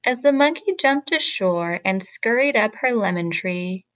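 A woman reads a children's picture book in the manner of a teacher. Her pace is slow and her phrasing rhythmic and expressive, with an average pitch and a dry sound free of room echo. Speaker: teacher reading aloud.

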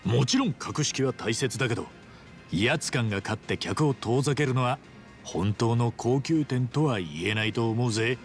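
An actor voicing a frank, big-hearted hero in a Japanese video game dub, speaking rough, emphatic casual masculine talk. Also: male voice, mid-range voice, normal speed, faint music behind, lightly acted. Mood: frank, reassuring.

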